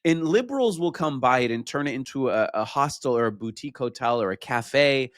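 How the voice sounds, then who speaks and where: A man from Iran talking casually as a guest, animated and quick to mimic other people. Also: medium pitch, dry recording, clean studio sound. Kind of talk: casual conversation.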